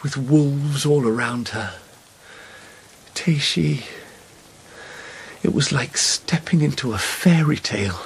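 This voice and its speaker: A man acting in a radio drama as a troubled, fevered young emperor, wistful and formal, speaking in a hushed, measured, weary way. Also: medium pitch, slightly rough, full character voice.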